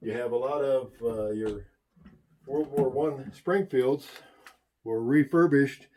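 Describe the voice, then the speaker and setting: A man's voice: medium pitch, slow pace, a little mellow. A man who owns the museum, giving an easygoing, knowledgeable guided tour. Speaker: museum owner.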